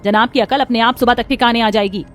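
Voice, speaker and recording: A woman plays a middle-aged mother-in-law in an animated family story. She is protective and admonishing, in a motherly, somewhat high voice. Soft music runs underneath. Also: fast talker, close to an everyday voice. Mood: caring, reassuring.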